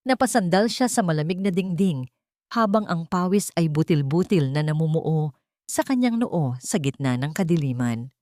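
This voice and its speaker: A woman narrating a drama story in a slow, somber, suspenseful scripted manner. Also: low voice, animated, a little mellow, no echo, clean studio sound.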